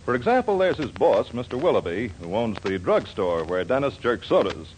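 A man's voice: mid-range voice, very animated, moderate pace, darkish tone, slight background noise, low-quality recording. A man announcing and narrating a 1940s radio show in a projected, theatrical manner. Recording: 1940s radio broadcast with music.

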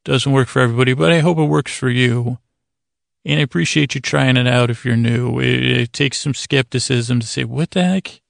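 A man hosting a bedtime podcast in a rambling, halting monologue, often interrupting himself and poking fun at himself. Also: mid-range voice, creaky, no echo, clean studio sound. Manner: meandering, halting, self-interrupting; self-deprecating. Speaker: podcast host.

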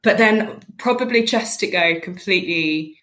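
A woman's voice: medium pitch, fairly bright tone. A woman in her late thirties from rural mid Wales, chatting casually and informally as a podcast guest. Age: late 30s. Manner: chatty, informal, quick to laugh. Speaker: interview guest, charity founder.

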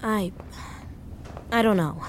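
A woman's voice: medium pitch, takes it slowly, slight background noise, natural voice. A woman playing a grieving, hesitant, sentimental woman in her thirties in naturalistic audio drama dialogue. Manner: hesitant, subdued.

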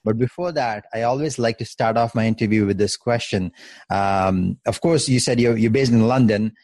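A man hosting an interview podcast, polite and halting with many fillers. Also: fairly low pitch, a little mellow, no room sound. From Canada. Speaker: podcast host.

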